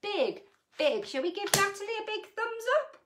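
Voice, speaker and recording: A woman's voice: very high voice, big pitch swings, moderate pace, noisy background. A middle-aged woman reading a bedtime picture book to children, adding chatty asides and sing-song tag questions. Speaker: storyteller reading children's books.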